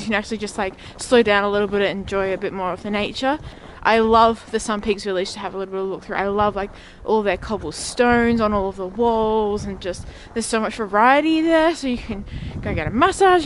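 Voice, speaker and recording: A woman's voice: on the high side, a little hiss or hum. A chatty, enthusiastic woman, talking casually to a handheld camera outdoors in a snowy ski village. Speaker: vlogger. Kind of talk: casual vlog talk to camera. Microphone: camera held at arm's length.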